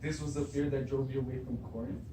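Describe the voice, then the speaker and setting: A man's voice: medium pitch, flat delivery, fast, natural voice. A man acting a plain-spoken messenger and former shepherd in an amateur stage reading. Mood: matter-of-fact. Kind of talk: beginning drama class readers theatre. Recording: echoey room, distant mic, clearly noisy.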